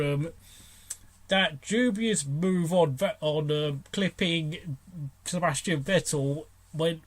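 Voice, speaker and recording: A man reviewing a motor race as host, talking off the cuff in a blunt, opinionated way with halting breaks mid-sentence. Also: on the high side. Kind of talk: unscripted race commentary and driver ratings.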